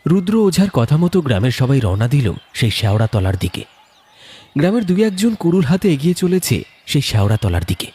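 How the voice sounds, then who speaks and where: A man's voice: medium pitch, very animated, a little fast, darkish tone, breathy edge. A man narrating a scripted horror story in a dramatic, suspenseful storytelling manner. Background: music.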